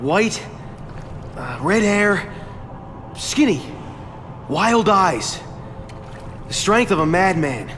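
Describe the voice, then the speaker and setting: An actor playing a nervous male murder suspect in a video game, defensive and hurried. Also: high voice, very animated, a bit bright, a little hiss or hum, heavily acted. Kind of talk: video game cutscene acting.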